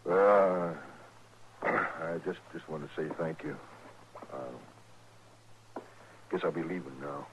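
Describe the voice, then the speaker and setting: An actor playing a sheepish cattle rustler in a 1950s radio western, talking in a hesitant, folksy drawl. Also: male voice, sits low, takes it slowly, long pauses, slight background noise. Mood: awkward, sheepish. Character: sheepish, halting cattle rustler of the old West. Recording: dull vintage radio drama recording.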